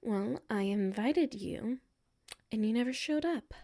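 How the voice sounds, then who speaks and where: A woman's voice: on the high side, measured pace, warm tone. A woman playing a hurt, defensive tsundere coworker with a secret crush in a roleplay audio, exasperated and emphatic, dropping into sulky murmurs. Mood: exasperated, flustered. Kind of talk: roleplay audio acting, one side of a conversation.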